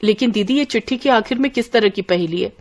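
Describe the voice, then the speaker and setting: A woman's voice: medium pitch, quick pace, a little mellow, close to an everyday voice. A woman voicing an eager, curious village girl, the younger sister in a scripted story.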